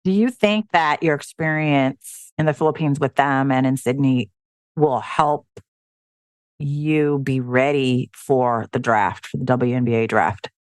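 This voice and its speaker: A woman's voice: extremely low pitch, measured pace, darkish tone, dry recording, clean studio sound. A woman from the United States hosting a podcast, putting questions to her guest in a conversational way. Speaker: podcast host, interviewer. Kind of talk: conversational interviewing.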